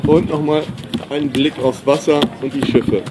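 A man's voice: on the high side, steady tone, normal speed. A middle-aged man vlogging outdoors at a sunny marina, chatting casually and enthusiastically to the camera. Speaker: pop artist vlogging. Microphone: handheld camera mic. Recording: faint outdoor noise.

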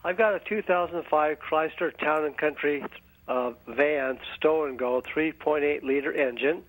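A man phones in to a car advice program with a straightforward question. His voice sits a little high for a man, and his speech is polite with small hesitations. The sound is dry and free of room echo. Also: measured pace. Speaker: caller.

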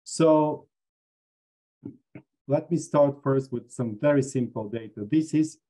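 A man's voice: medium pitch, takes it slowly, mellow. A man from Bulgaria, a business school dean, giving an online lecture with frequent fillers. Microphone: video call.

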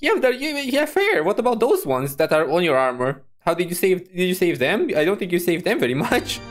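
A woman streamer giving casual, chatty reaction commentary, expressive and often repeating her phrases. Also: lowish voice, brisk, soft background music.

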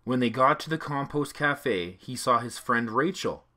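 Male narrator for a kids' read-along, bright and expressive. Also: mid-range voice, moderate pace. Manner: clear, expressive reading for children.

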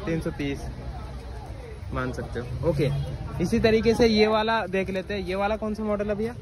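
A man's voice: high voice, normal speed, rough recording. A young man filming a casual shop-tour vlog on his phone, asking a seller for prices and repeating each answer back to the camera. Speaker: vlogger touring an electronics parts shop. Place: busy street-side electronics shop. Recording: outdoor phone recording with crowd noise. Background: street crowd and traffic.

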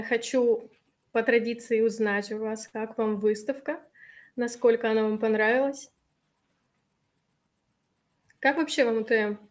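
A woman in her thirties, a little hoarse from allergies, hosting a live webinar on a video call and reading out and answering viewers' chat messages in a friendly way. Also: fairly high pitch, a little mellow. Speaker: webinar host. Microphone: webcam on a video call.

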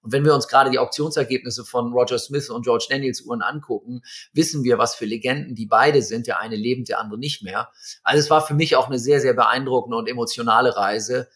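A man in his fifties tells stories from his career as a watch magazine editor and pauses often on filler sounds. He speaks at a moderate, middle pitch. The sound comes from a video call in a room at home. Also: fairly bright tone. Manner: hesitant, many fillers, storytelling. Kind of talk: conversational interview. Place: home room with bookshelves and string lights.

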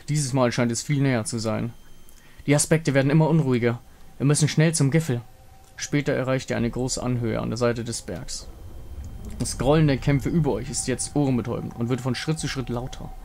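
A man's voice: mid-range voice, fairly even intonation, fairly quick, darkish tone, a little hiss or hum. A man giving a dramatic scripted narration of a fantasy game story. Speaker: narrator and reader of game dialogue. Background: music.

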